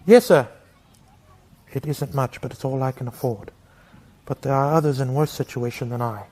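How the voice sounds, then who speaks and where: An actor playing a meek, kindly Victorian clerk in a radio drama, polite, apologetic and eager to obey. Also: male voice, mid-range voice, steady tone, moderate pace, darkish tone, lightly acted.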